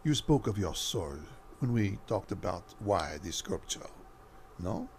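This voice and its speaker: Man playing a slow, suave French vampire. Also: fairly low pitch, sing-song, a little hiss or hum. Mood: seductive, wistful. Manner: courtly, slow, coaxing. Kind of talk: horror radio drama acting.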